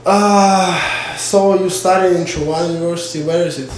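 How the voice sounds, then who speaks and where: A man's voice: high voice, fairly even intonation, slight background noise. Hesitant man interviewing. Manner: halting, many fillers, apologetic. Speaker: interviewer and channel host. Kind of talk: casual interview in non-native English. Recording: echoey room with faint ambience.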